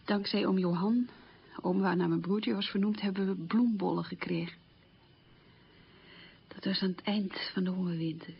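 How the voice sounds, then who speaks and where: A middle-aged woman looking back on hungry war years. Her voice is very husky with a gravelly creak. She tells it plainly and emphatically, as radio drama testimony, on a dull old recording. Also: mid-range voice, fairly even intonation, normal speed, pauses often. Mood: grave, bitter. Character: middle-aged woman bitterly recalling wartime hunger.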